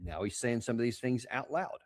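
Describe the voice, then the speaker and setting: A man's voice: mid-range voice, lively intonation, moderate pace. A man hosting a casual political commentary show. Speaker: host.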